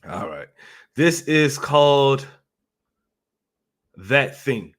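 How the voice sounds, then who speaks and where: A man's voice: medium pitch, sing-song, very slow, a bit bright. A man in his thirties hosting his channel, telling stories to camera casually, chatty, laughing often and repeating himself. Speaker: channel host.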